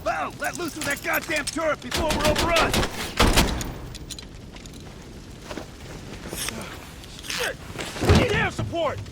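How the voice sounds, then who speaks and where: An actor playing a gruff, hard-bitten American covert operations team leader in a military game, speaking in curt, profane orders. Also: male voice, very high voice, animated, moderate pace, very noisy. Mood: urgent, shouting. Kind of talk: cinematic military game acting.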